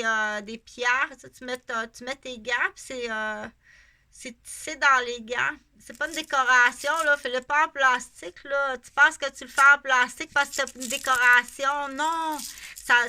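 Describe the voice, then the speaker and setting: High, piercing Québécois woman rambling. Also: pushed and bright. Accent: Québécois French. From Canada. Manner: rambling and emphatic, repeats herself for stress. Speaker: vlogger. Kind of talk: casual unscripted monologue to camera.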